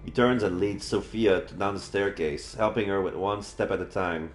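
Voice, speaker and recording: A man's voice: sits low, moderate pace, faint music behind. A man reading a visual novel aloud on a livestream, casually adding his own reactions as he goes. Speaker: live streamer. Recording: echoey room.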